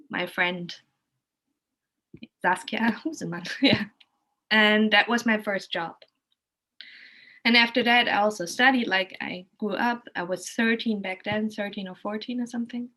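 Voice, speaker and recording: A woman's voice: medium pitch, sharp tone, low-quality recording. A woman from Germany with a German accent, telling her story casually, thinking aloud and often rephrasing as she goes.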